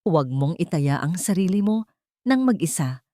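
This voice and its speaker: A woman's voice: on the low side, big pitch swings, moderate pace, warm tone, dry recording. Romance audio story: young male lover, voiced by a female narrator, tender, protective, earnest pleading, close dry sound.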